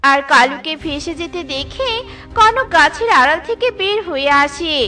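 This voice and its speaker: A woman narrating a cartoon story in a lively storytelling way. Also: very high-pitched, moderate pace. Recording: with light background music.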